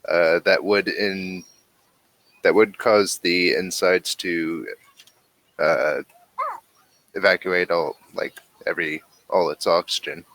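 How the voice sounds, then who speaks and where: A man narrating a tabletop role-playing game as game master, halting as he searches for words. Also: sits low, steady tone, a bit bright.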